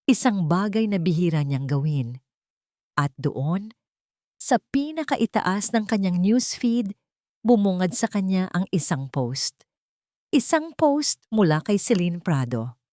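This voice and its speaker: A woman narrates a dramatic love story from a script. Her voice sits slightly low. The recording is dry, with very little room sound. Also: animated, measured pace, pauses often, dark tone, no echo.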